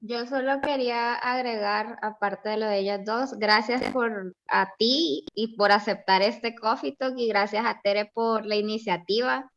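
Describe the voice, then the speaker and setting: Female participant, slightly high voice, informal appreciative delivery, dull call audio. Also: fairly even intonation, measured pace, hardly any pauses, a bit bright. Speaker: participant giving thanks. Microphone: video call.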